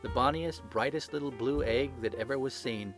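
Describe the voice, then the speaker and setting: A man playing a confident gentleman detective in an audiobook, speaking with brisk authority and dry wit. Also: medium pitch, over music, natural voice. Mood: wry. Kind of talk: audiobook character acting.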